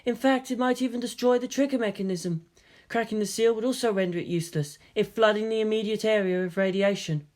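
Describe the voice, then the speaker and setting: Reader putting on a dry sarcastic girl, cool and unbothered, slightly higher voice, audiobook. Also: a little fast, darkish tone, close to an everyday voice. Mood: sardonic.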